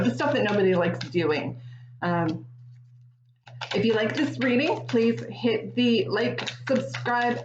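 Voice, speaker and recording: Woman, forties, slightly raspy, casual and chatty, lots of ums, echoey room, faint background hiss. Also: mid-range voice, muffled recording. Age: 40s. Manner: chatty, frequent ums, addresses viewers directly. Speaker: tarot reader. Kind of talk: casual tarot reading to camera.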